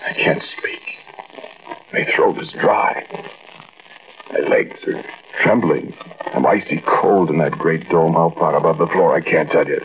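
An actor playing a frightened, haunted man telling his own eerie story, intense and halting, in 1940s radio style. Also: rumbling low, husky, full character voice. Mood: terrified. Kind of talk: 1940s radio acting, first-person suspense narration. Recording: muffled, noisy old radio recording.

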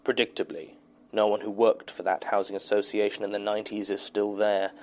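An English actor playing a formal, sceptical archivist who dictates case notes in a measured, dismissive manner for an audio horror drama. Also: male voice, fairly low pitch, little pitch movement, darkish tone, muffled recording, slight background noise, natural voice. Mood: dry, sceptical. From Bournemouth, England. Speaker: archivist narrator of horror podcast.

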